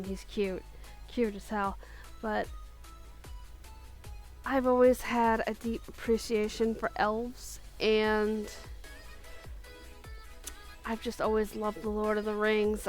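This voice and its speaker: Slow, gushing woman with faint music. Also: a little high. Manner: gushing, giggly, with restarts. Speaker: video creator. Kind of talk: casual countdown chat.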